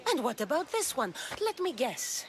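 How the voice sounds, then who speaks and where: A woman's voice: sits high, big pitch swings, normal speed, crowd noise. An actress playing a determined young Greek warrior woman in a video game, blunt, impatient and dryly wry. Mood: wry, skeptical. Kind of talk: video game character dialogue. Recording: music under the dialogue.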